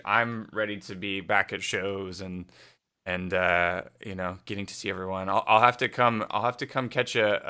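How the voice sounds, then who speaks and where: A man with a low but bright, forceful voice hosts a casual livestream chat. He asks long, rambling questions with eager energy. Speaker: livestream host. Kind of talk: casual interview hosting.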